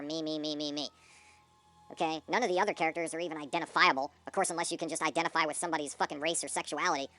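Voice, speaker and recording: A woman's voice: low voice, a bit bright. A woman giving a blunt, profane, scornful rant as a movie reviewer. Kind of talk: ranting film review commentary.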